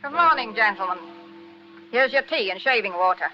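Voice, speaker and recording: An actress playing a brisk, sharp-tongued housekeeper at a village inn in a 1940s radio drama. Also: female voice, on the high side, expressive, faint music behind, lightly acted. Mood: disapproving. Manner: scolding, disapproving. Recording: dull lo-fi 1940s radio recording.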